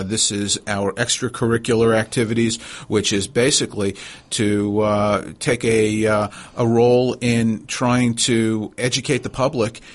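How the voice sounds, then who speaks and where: New York man hosting radio talk, halting delivery. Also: fairly low pitch. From New York, USA. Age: middle-aged. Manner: halting, hesitant delivery with frequent pauses. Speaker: radio talk show host, physician.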